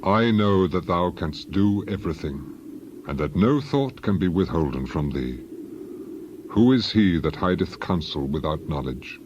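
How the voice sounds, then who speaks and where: A man's voice: low voice, animated, unhurried. An actor playing a humbled, repentant suffering man in a dramatized scripture reading, solemn and penitent. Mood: humble, remorseful. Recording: dull, noisy recording with ambience.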